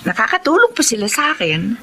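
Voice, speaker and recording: A woman's voice: on the high side, wildly swooping pitch, fairly quick, bright, forceful tone, slight background noise, full character voice. An actress playing an earnest, proud young working woman in a Filipino radio drama. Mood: earnest, resolute.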